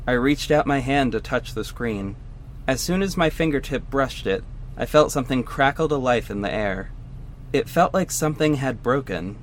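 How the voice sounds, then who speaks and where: A man's voice: medium pitch, a little hiss or hum, natural voice. A man playing a vigilante hero, narrating his own story in scripted first person for an audio drama. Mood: intrigued.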